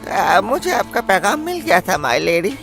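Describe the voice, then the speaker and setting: Nervous meek cartoon porter, lively up and down voice, faint music. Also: male voice, high-pitched, normal speed, creaky, exaggerated voice. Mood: meek, wary. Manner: hesitant, meek, deferential. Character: timid, polite, hesitant male ship porter. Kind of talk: Hindi cartoon dub acting.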